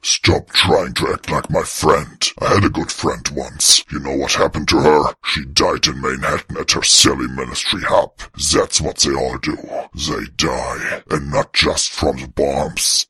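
A man voicing an embittered old male ghoul donkey in an audiobook, with a harsh gravelly rasp and a brooding, menacing manner. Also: very deep voice, fairly even intonation, unhurried, hardly any pauses, no echo, voice pushed down, heavily acted. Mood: bitter anger. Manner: bitter, brooding, forceful. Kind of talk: audiobook character voice.

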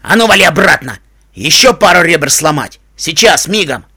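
Man as stallion bully, loud, coarse, vicious, threatening, storybook villain. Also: fairly high pitch, expressive, normal speed, husky, full character voice. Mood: menacing.

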